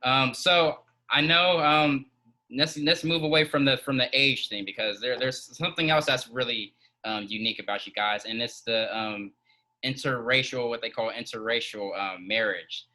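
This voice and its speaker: A man hosting an interview, asking questions with hesitant pauses and many fillers. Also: medium pitch, bright, forceful tone, low-quality recording. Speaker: host.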